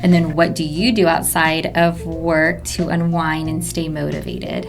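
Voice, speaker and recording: A woman interviews casually as a podcast host. Her voice sits fairly low with pronounced vocal fry, and quiet music plays underneath. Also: expressive, measured pace. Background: music in the background.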